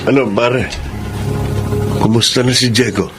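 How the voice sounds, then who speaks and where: Male actor for humble, grateful father in radio drama; mid-pitched, heartfelt, music under the voice. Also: fairly even intonation, measured pace, lightly acted. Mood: friendly concern. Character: Carlos, humble poor father, emotional and grateful. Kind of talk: Tagalog radio drama acting with narration.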